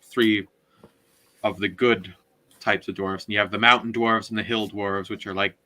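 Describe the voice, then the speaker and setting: A man recapping a story in a casual book discussion, often halting as he searches for words. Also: on the low side, flat delivery, bright, forceful tone. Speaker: podcast co-host.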